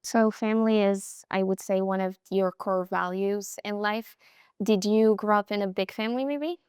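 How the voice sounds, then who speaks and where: A young woman hosting a sports interview, asking warm, admiring questions in a lively way. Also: medium pitch, moderate pace, smooth, dry recording, clean studio sound. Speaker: interview host.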